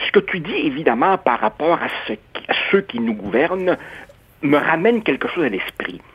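A man from Uruguay speaking as a radio columnist, measured and expressive with stammering restarts. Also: a little high, breathy edge. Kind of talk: opinionated radio debate. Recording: dull lo-fi radio sound.

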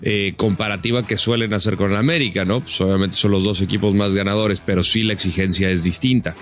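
A Mexican man on a sports podcast, giving measured, analytical football commentary with frequent "eh" fillers. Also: on the low side, steady tone. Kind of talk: casual football analysis chat.

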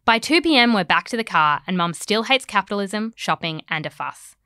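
A woman reading a scripted personal essay in a wry, conversational and expressive storytelling manner. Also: medium pitch, brisk, smooth, no room sound, studio quality. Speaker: writer reading own article.

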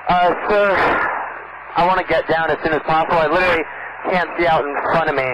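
A man's voice: a little high, steady tone, fast talker. A young man, a student pilot, making polite radio calls to air traffic control from a small cockpit, under stress. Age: young adult. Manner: polite, deferential. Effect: aircraft radio. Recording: noisy muffled cockpit radio transmission.

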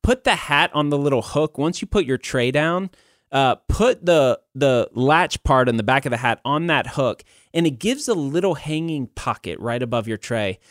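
A man's voice: medium pitch, lively intonation, normal speed, fairly bright tone, no echo, clean studio sound. A man hosting a podcast in a casual, conversational way, talking informally to other guys like buddies. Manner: informal, buddy-like address to male listeners. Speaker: podcast host.

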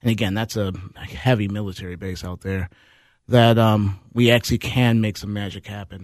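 A man on a radio talk show, a nonprofit director, telling his story in a relaxed way with many "ums" and "you knows" and easy laughs. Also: lowish voice, dry recording, studio quality. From Minnesota, North Side of Minneapolis. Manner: laughs easily. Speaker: guest, managing director of a nonprofit.

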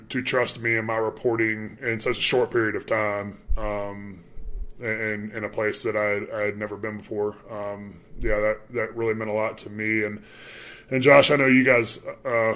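A man's voice: on the low side, a bit bright. A young man with a mild Southern accent, chatting loosely on a podcast with many fillers and restarts. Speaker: departing sports reporter. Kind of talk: casual podcast chat.

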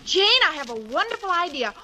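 A woman's voice: extremely high pitch, sing-song, a little fast. An actress playing a naive, scatterbrained, cheerfully literal young woman in a 1940s radio sitcom, earnest and oblivious. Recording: noisy old 1940s radio recording.

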